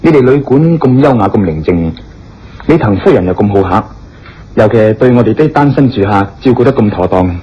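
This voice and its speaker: Male actor as chatty holidaying gentleman, Hong Kong Cantonese accent, courteous, expressive swings, radio drama, dull sound. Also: fairly low pitch, a little fast, a little hiss or hum, lightly acted. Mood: genial, chatty. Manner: courteous, expansive, conversational. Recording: dull radio recording with faint music.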